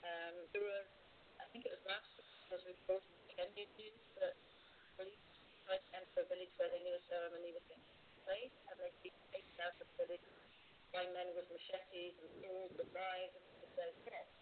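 A woman's voice: fairly low pitch, flat delivery, moderate pace. A woman guest from a charity, answering interview questions earnestly over a call. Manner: earnest, explanatory. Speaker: guest, charity communications manager. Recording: tinny phone line with faint hiss.

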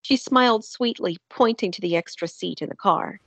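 A woman's voice: medium pitch, normal speed, fairly bright tone. A woman narrating a scripted fantasy drama.

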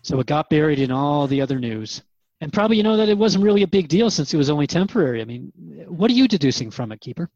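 A man's voice: a little high, very animated, fast, a little mellow, no room sound. A man in a conversational radio drama, asking and answering questions in a hesitant, reflective way. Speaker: interviewer in a radio drama series. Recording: dry, slightly dull audio.

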